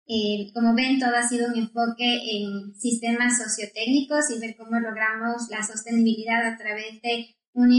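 A woman's voice: fairly high pitch, a bit bright. A woman with a Quito Ecuadorian accent, a guest lecturer answering interview questions thoughtfully, with frequent fillers and self-corrections. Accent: Quito Ecuadorian Spanish. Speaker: guest, environmental engineering lecturer. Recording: roomy, distant mic.

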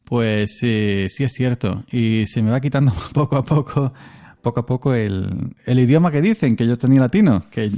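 A man's voice: mid-range voice, lively intonation, moderate pace, warm tone. A man from Andalusia with an Andalusian Spanish accent, chatting casually as a podcast co-host, rambling and chuckling often. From Andalusia, Spain. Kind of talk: casual chat.

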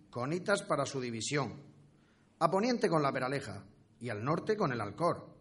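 An actor playing a deferential eighteenth-century town scribe in a radio play, courteous and official, with precise formal delivery. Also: male voice, a little high. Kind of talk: scripted historical radio play.

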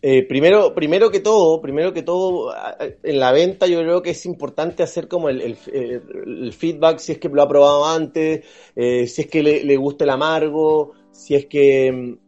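A man's voice: a little high, moderate pace, darkish tone. A man with a Chilean accent chatting casually as a podcast co-host, often repeating words as he searches for the next. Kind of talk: casual chat. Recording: dull lo-fi audio, music behind. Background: background music.

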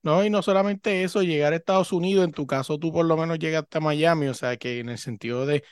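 A man's voice: fairly high pitch, quick pace, clean tone, dry recording, clean studio sound. A man from Puerto Rico hosting a podcast interview, chatty and full of fillers. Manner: chatty, loaded with filler "o sea". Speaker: podcast host. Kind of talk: conversational interview. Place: Virginia.

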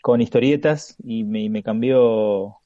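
Man in his forties, argentine spanish accent, hesitant rambling guest, mellow voice, dull lo-fi sound. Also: medium pitch, no echo. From Chile. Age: 40s. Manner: hesitant, halting with frequent restarts. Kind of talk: casual conversation.

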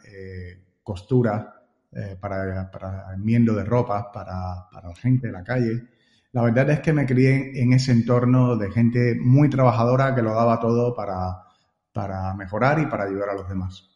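A man's voice: fairly low pitch, steady tone, normal speed, mellow. Cuban-accented man in an echoey room. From Cuba. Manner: repeats words while thinking, frequent "eh" fillers. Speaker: guest. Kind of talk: relaxed interview answers. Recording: roomy echo, long reverb, dull lo-fi sound.

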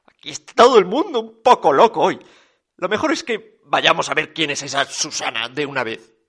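A man voicing a calm, soothing elderly mother in a comic story. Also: on the high side, normal speed, breathy edge, heavily acted.

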